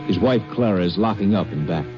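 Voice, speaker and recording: A man's voice: deep voice, expressive, a little fast, muffled recording. A man announcing and narrating a radio drama in a formal, dramatic 1950s style. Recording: old radio recording with loud music.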